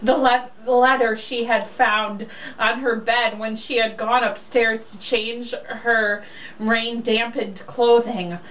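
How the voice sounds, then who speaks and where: A woman speaks at an unhurried pace with blunt sarcasm, moving between casual chat and mocking read-aloud. Her voice sits a little high. She is recorded at some distance from the mic, with faint noise behind her. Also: fairly even intonation, a bit bright. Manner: blunt, sarcastic, swears freely. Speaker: co-host reading a romance novel aloud.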